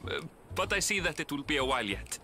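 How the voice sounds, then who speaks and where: A mild polite video game hero, bright voice, kinda high, considerate and helpful. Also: male voice, big pitch swings, quick pace, faint music behind, exaggerated voice. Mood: puzzled, polite. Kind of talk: video game cutscene acting.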